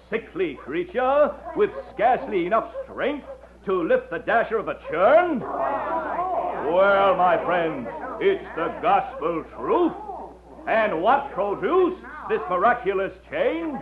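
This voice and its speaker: An actor in an old radio drama playing a showy quack doctor with a grandiloquent sales pitch. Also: male voice, heavily acted. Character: showy male medicine-show huckster, grandiloquent quack doctor. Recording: lo-fi old radio recording, crowd behind.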